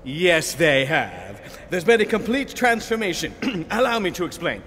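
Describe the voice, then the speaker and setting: A man's voice: a little high, sing-song, a little slow, a bit bright. A man voicing an impatient, sarcastic talking lightning, a wordy life force in a comic sci-fi audio play. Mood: explaining impatiently. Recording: long reverb, faint background noise.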